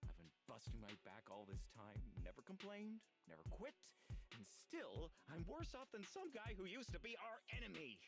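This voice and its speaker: Mid-pitched sardonic henchman, bitter, weary, complaining, very animated intonation, video game dialogue. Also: normal speed, vocal fry, over music. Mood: bitter, grudging. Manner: grudging, sneering, complaining. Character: bitter, sardonic captured cult underling, resentful and weary.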